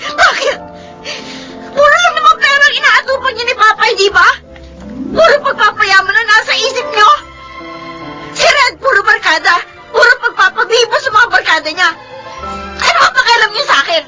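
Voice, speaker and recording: A woman's voice: very high-pitched, lively intonation, normal speed, long pauses, bright, forceful tone, soft background music, exaggerated voice, rough recording. A woman playing a hurt, rebellious teenage daughter with a Filipino accent in a melodrama. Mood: hurt, tearful, angry. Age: young adult. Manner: defiant, tearful outbursts. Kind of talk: melodramatic Filipino drama acting.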